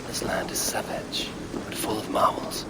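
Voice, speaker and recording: An actor playing a gruff, brooding Norse warrior in a video game, speaking gravely in a breathy, gravelly murmur. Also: male voice, a little slow, very noisy, close to an everyday voice. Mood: brooding, hushed. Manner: grave, archaic phrasing. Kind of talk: video game character musing aloud.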